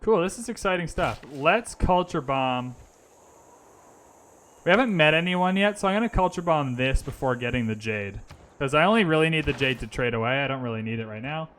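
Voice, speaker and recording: A man with a bright, forceful voice pitched a little high talks through a strategy game in a live stream. He thinks his moves aloud in a loose, chatty way and breaks off to answer viewers. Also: expressive, a little fast, a little hiss or hum. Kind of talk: casual gaming commentary. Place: lives in Vancouver.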